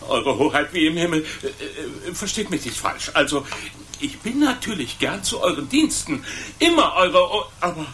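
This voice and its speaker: An actor playing a proud but easily flustered town blacksmith who stammers in servile courtesy in a historical radio play. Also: male voice, fairly high pitch, very animated, normal speed, few pauses, fairly bright tone, a little hiss or hum. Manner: stammering, servile yet indignant.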